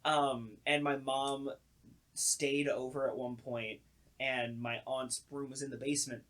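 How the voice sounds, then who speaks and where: A man's voice: medium pitch, level tone, measured pace, a bit bright. A young man co-hosting a podcast, telling stories casually and informally and acting out reactions as he goes. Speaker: podcast co-host. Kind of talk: casual storytelling chat.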